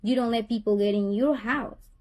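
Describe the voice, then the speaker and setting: A middle-aged woman from Honduras with a Honduran Spanish accent, speaking as a parent in a tense call. She talks earnestly, repeats words and restarts her sentences, and her voice sits a little high. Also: fairly even intonation, moderate pace, a little mellow.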